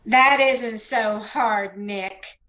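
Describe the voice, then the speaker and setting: A woman's voice: on the high side, drawn-out words, pushed and bright, heavily acted. A woman playing a coy, scheming, sweet-talking bride in old-time radio melodrama, with noise on the recording. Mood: coy, calculating. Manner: coy, flirtatious. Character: sweet-talking, scheming, trigger-happy femme fatale bride.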